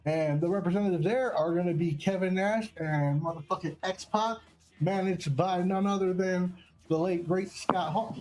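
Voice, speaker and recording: A man's voice: high voice, moderate pace, a little mellow, soft background music, poor audio. A man hosting casual wrestling commentary in an enthusiastic, slangy and profane way. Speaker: segment host and match commentator.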